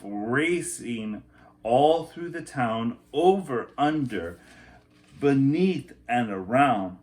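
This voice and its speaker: A man teacher reading a picture book aloud to children, with expressive, emphatic delivery and softer, chatty asides. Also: mid-range voice.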